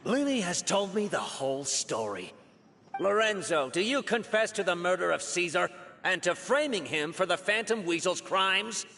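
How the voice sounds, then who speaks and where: An actor playing a stern guard in a video game, accusing someone in a formal, forceful manner. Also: male voice, sits high, expressive, normal speed, creaky, a little hiss or hum, heavily acted. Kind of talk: English video game voice acting.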